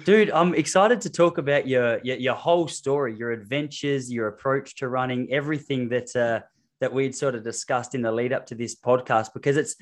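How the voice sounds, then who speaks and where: A man's voice: medium pitch, fairly quick. A man hosting a running podcast, chatting with eager enthusiasm and plenty of fillers over a remote call. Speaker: podcast host and running coach. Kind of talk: casual podcast interview.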